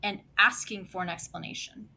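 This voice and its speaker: Bright, blunt female lieutenant. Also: mid-range voice, fairly even intonation, a little fast, low-quality recording. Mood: indignant, sarcastic. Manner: sarcastic, emphatic. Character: blunt, sarcastic female second-in-command, loyal and indignant. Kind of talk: audio drama acting.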